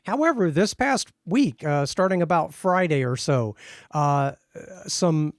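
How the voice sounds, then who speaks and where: A man co-hosts a podcast in easygoing conversation, with a higher voice and a wry humor. His sound is dry and close to the mic. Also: animated, unhurried, dry recording. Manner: wry, laughs often. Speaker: guest co-host. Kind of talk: casual chat.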